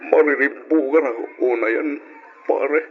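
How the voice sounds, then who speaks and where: A man's voice: medium pitch, unhurried, lightly acted. An actor playing a troubled, aggrieved husband and father in an Ilocano radio drama. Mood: anguished, bitter. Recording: dull, noisy old radio recording.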